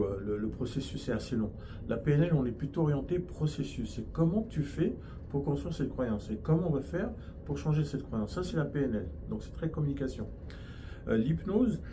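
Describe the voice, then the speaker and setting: A man's voice: mid-range voice, noisy background. A man from France with a French accent, a hypnosis and NLP trainer explaining his field in an interview, with frequent restarts.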